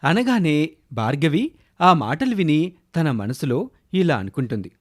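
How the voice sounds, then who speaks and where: Animated high-pitched male storyteller. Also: unhurried. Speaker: storyteller narrator. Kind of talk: scripted children's story narration.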